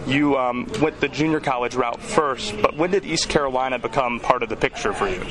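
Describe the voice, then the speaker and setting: A man's voice: mid-range voice, a little fast, a crowd in the background. Chatty upbeat male radio host. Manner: chatty and upbeat, repeats words while thinking. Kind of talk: casual radio interview.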